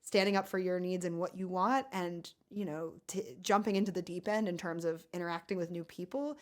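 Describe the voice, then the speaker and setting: A woman with a deep, low-set voice talks through advice in a relaxed podcast chat. She is earnest and encouraging, and she laughs easily as she goes. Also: a little fast. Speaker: podcast co-host.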